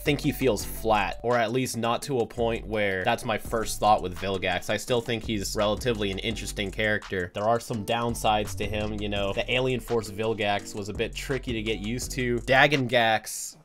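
A man's voice: lowish voice, fairly even intonation, moderate pace, hardly any pauses, fairly bright tone. A young man co-hosting a casual ranking show, talking informally into a microphone indoors. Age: young adult. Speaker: co-host of a cartoon ranking show. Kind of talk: casual chat. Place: small cluttered room indoors. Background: background music.

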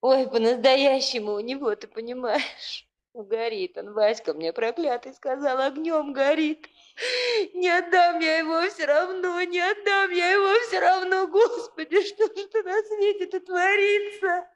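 A woman's voice: extremely high pitch, unhurried. A woman playing a hurt, jealous young bride in a 1960s Soviet radio play, with emotional, colloquial outbursts. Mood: tearful, despairing.

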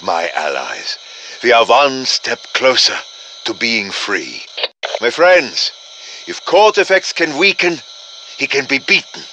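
A slow, earnest, dramatic professor. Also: male voice, mid-range voice, bright, forceful tone, a little hiss or hum, full character voice, rough recording. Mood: grim satisfaction. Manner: deliberate, formal, dramatic. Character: earnest scholarly male professor allied with demons. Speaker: game character giving players instructions. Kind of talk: video game voice acting.

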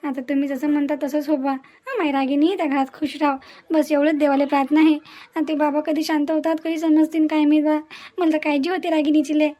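A woman's voice: high voice, flat delivery, a little fast, dark tone, natural voice. A woman voicing a worried middle-aged mother in a cartoon family melodrama, coaxing and plaintive, with a Vidarbha Marathi accent. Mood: anxious.

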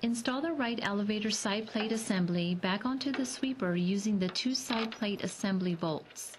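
A woman reading scripted step-by-step repair instructions as a voice-over, in a measured, even way. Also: mid-range voice, noisy background. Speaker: instructional narrator.

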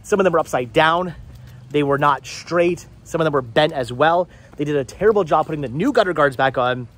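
Man, 30s, rapid rambling vlogger, slightly high voice, fed-up tone, phone camera held at arm's length in the yard. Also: fairly bright tone. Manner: fast, rambling, exasperated, repeats words. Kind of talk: frustrated life-update vlog. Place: outdoors in a house yard.

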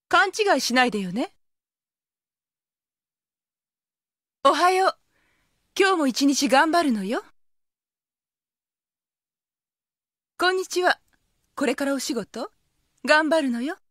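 A proud high school girl from an anime audio drama, the eldest sister who scolds and looks after everyone. Her voice is high and swings widely, with a commanding, self-assured delivery. The recording is dry and close. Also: fairly bright tone, no room sound. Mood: proud, aloof.

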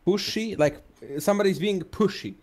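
A man in casual gaming banter with friends, good-humoured and quick to swear. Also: sits high, a little mellow. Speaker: co-player.

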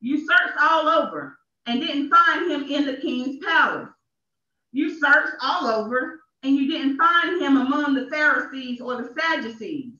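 A woman preacher speaks in a high voice, giving an emphatic sermon with deliberate repetition. She is heard at a distance in a small, echoey church. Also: expressive, pushed and bright. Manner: emphatic, repeats phrases for effect. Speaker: minister. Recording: echoey, dull church livestream from off.

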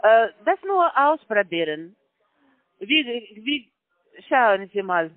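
A man who is not a native German speaker, making a sales pitch on a phone call in halting, broken German full of fillers. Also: sing-song, no echo. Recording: phone line, dry.

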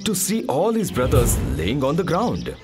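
A man narrating a scripted animated mythological story for children. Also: a little high, moderate pace. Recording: music behind.

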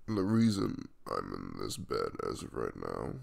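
A man's voice: extremely low pitch, wildly swooping pitch, a little slow, vocal fry, a little mellow, pitched below the performer's own voice, full character voice. A man playing a sleepy, caring young man in an intimate ASMR roleplay, speaking casually and hesitantly in a mumble. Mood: sheepish, fond. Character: sleepy, caring young man waking in his crush's bed.

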